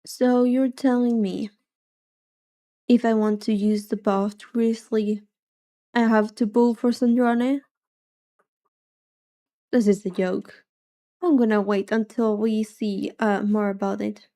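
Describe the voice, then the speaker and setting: Soft, mellow woman streamer, unhurried. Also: medium pitch, fairly even intonation. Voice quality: soft-voiced. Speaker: livestreamer, vtuber. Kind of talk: casual chat reacting to a game livestream.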